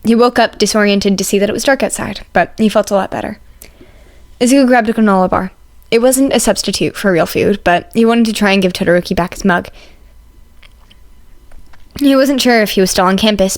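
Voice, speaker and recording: A woman's voice: mid-range voice, fairly quick. A woman reading fan fiction aloud as scripted story narration.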